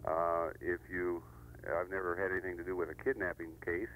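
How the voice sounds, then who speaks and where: A deep-voiced middle-aged American man phones in to a radio show. He explains things in a halting, casual way over a faintly noisy, humming line. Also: level tone, fairly bright tone. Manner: hesitant, halting.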